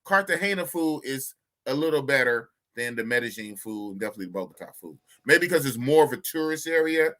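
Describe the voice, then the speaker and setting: A man's voice: medium pitch, quick pace. A man hosting a casual live podcast chat, joking around and reading viewer comments aloud. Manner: animated, jokey, reads chat comments aloud. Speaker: podcast host.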